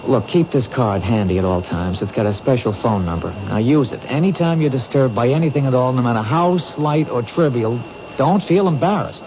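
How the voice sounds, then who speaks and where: Earnest, protective policeman played by a male actor, mid-low voice, persistent, vintage radio drama with heavy hiss. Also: expressive, moderate pace, lightly acted. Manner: plain-spoken, persistent. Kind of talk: 1970s radio drama acting. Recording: muffled, hissy old radio recording.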